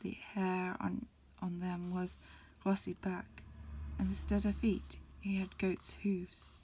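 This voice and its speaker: A woman reading a storybook aloud as ASMR in a breathy, mostly whispered voice, a little hesitant, with small stumbles. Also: lowish voice, level tone, slow pace, darkish tone, a little hiss or hum. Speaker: amateur reader. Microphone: close recording, lo-fi home setup.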